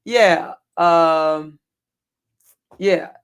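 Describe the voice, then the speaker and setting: Hoarse streamer rambling to chat, lots of ums and little laughs, fixing their own words as they go. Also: very slow. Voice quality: deep, worn voice. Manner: rambling, self-correcting, chuckling often, many ums. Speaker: livestream host. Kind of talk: casual livestream chat to viewers.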